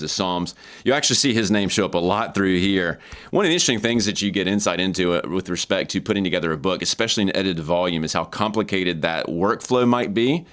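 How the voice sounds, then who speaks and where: A man with a low voice talks through an academic book as its reviewer. His delivery is informal and explanatory, conversational but scholarly. He often repeats a word or phrase to drive a point home. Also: fairly bright tone.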